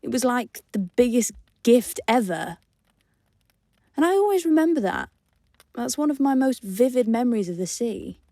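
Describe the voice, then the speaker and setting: A woman's voice: fairly high pitch, lively intonation, normal speed, warm tone, no echo, studio quality. A woman sharing personal memories in an earnest, conversational, emphatic way, reflecting as she speaks.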